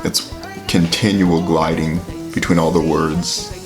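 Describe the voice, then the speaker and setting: A man with a very deep voice giving casual, filler-heavy commentary while reacting to a song. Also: little pitch movement, unhurried. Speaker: reaction video host. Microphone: headphones with mic. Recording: music playing under the voice. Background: music playing.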